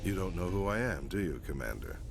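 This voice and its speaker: An actor playing a gruff, disapproving middle-aged admiral in a video game, blunt and stern in the way he talks. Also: sits low, sing-song, a little fast, faint music behind, exaggerated voice. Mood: stern, disdainful. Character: gruff, disapproving senior space navy admiral inspecting a warship.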